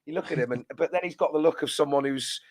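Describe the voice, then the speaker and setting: A man's voice: a little high, fast, no echo. A man giving post-match reaction as a fan channel co-host, rambling and trailing off into a mutter at the ends of his phrases.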